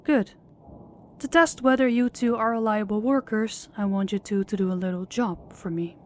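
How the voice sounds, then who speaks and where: A woman's voice: medium pitch, moderate pace, a little mellow, a little hiss or hum, natural voice. A woman playing a sadistic female crime boss in an audio roleplay, speaking in a formal, controlled and menacing way. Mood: cool, businesslike.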